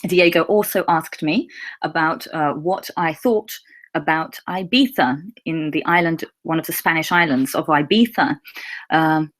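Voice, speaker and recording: A young woman with a slightly low voice, whose was Russian. She talks in an easygoing, chatty way and answers viewers' questions one by one. She keeps her words clear and simple for learners. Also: measured pace, a bit bright. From England. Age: young adult. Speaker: English teacher. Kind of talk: casual live Q&A.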